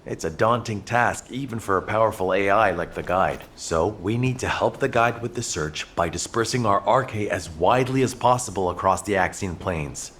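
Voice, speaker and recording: A man playing an earnest, remorseful commander in a sci-fi video game cutscene, solemn and measured. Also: on the low side, a bit bright, close to an everyday voice. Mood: grave, resolute.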